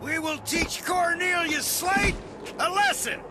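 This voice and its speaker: Militant commander, very high raised voice. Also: male voice, slow. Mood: menacing, resolute. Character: militant male commander rallying his troops. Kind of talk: theatrical rallying speech. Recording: game audio under loud music.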